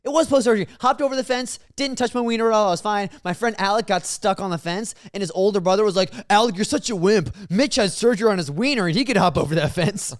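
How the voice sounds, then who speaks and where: A man's voice: high-pitched, fast, dry recording, studio quality. A young man co-hosting a podcast, chatting casually and joking, often laughing. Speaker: podcast co-host. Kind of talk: casual chat.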